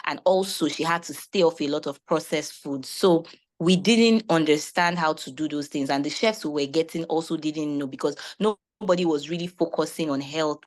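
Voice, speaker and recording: A woman's voice: deep voice, moderate pace. A woman giving personal testimony and advice as a panelist, speaking in a reflective, earnest way. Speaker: chef and panelist.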